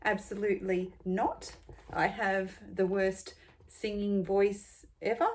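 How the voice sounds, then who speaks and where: An Australian woman in her forties answering tag questions in a casual, rambling way, with many ums and pauses. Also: medium pitch, level tone. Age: 40s. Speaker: beauty vlogger.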